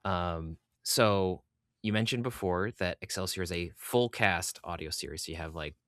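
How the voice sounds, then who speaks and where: A man with a deep, low-pitched voice hosts a casual chat. He rambles haltingly toward his questions, and the sound is dry with hardly any room in it. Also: fairly bright tone, no echo. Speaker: podcast host, interviewer.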